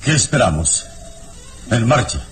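An actor playing a calm, daring hero who talks in a measured, commanding way, in an old radio drama. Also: male voice, medium pitch. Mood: calm, firm. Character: serene, heroic man, calm and daring. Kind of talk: 1960s radio drama acting. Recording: noisy old radio recording.

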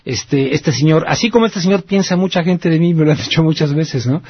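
Mexican man, radio host, slightly high husky voice, colloquial, reflective rambling, muffled dry audio. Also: a little fast, no room sound. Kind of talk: casual conversational radio commentary.

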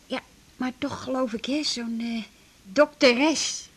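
An actress playing a gossipy, opinionated patient in a radio drama, chatty and a little sceptical. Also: female voice, a little high, slow pace, husky, full character voice.

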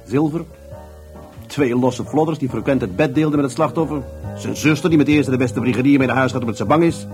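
Casting: man playing exasperated, blunt cop in radio play, middle-pitched, music clearly behind. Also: a little fast, a little mellow, lightly acted. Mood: exasperated, sardonic. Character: male police detective, exasperated, sardonic, matter-of-fact. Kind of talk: Dutch radio drama acting.

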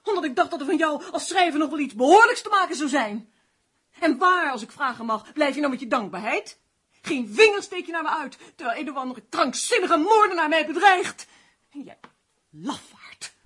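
An actress in a radio drama playing a tense, sharp-tongued crime novelist who is haughty and demanding. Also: female voice, high voice, lively intonation, normal speed. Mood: furious.

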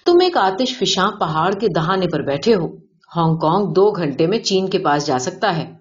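A woman's voice: on the low side, lively intonation, natural voice. A woman reading the lines of a boastful, self-satisfied middle-aged businessman with a callous streak in an audiobook. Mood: smug, boastful. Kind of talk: character dialogue in audiobook reading. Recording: dull, lo-fi sound.

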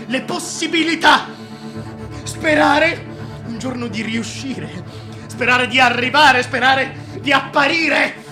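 High-pitched, volatile tyrant king. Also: slow, over music. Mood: contemptuous, ranting. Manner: declamatory, volatile, contemptuous, swinging from hushed to shrill. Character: vain, self-pitying tyrant king ranting and justifying himself. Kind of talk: theatrical radio drama monologue.

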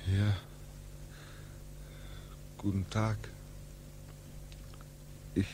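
An actor playing a homesick, brooding young man back from war in a 1940s radio drama, with halting, repetitive, anguished speech. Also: fairly low pitch, flat delivery, background noise. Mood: bewildered, pleading. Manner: halting, repetitive, swinging into agitated questioning. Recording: 1940s radio recording, music behind.

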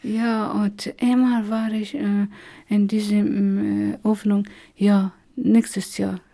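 A middle-aged woman from Afghanistan with an Afghan accent, haltingly telling her personal story with many pauses. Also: mid-range voice, flat delivery, creaky, dark tone. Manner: halting, many hesitations, slow and searching for words. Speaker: interviewee. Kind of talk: personal testimony.

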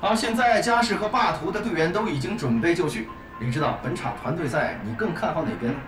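Energetic esports commentator, roomy and distant. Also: female voice, deep voice. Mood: professional. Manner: brisk, professional, excited. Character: energetic, polished female esports match commentator. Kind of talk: sports commentary in an audio drama. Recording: roomy, distant, loud music behind.

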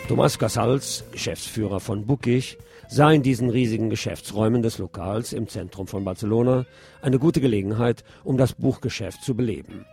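A middle-aged man hosting a radio show, reading news commentary in a measured, opinionated way. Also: fairly low pitch, gravelly, mellow, soft background music. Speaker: radio host.

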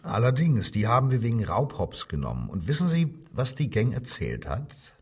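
An actor playing a coldly mocking Nazi police commissioner who interrogates in a controlled, commanding radio crime drama style. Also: male voice, mid-range voice, big pitch swings, moderate pace, a little mellow, slightly rough, recorded from a distance. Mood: sardonic, accusing. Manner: sardonic, probing, controlled, commanding.

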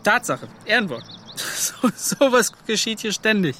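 An actor playing a brash, modest young adventurer in a radio play, telling his story in an excited, colloquial, scoffing way. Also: high-pitched, a little slow, a little hiss or hum, exaggerated voice. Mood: amused, scoffing. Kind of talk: German radio play acting. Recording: music behind the voice.

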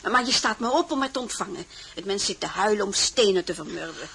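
An actress playing a blunt, insistent maidservant in a radio drama, matter-of-fact and bossy. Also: female voice, mid-range voice, lively intonation, fast talker, vocal fry, fairly bright tone, a little hiss or hum. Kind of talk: Dutch radio drama acting.

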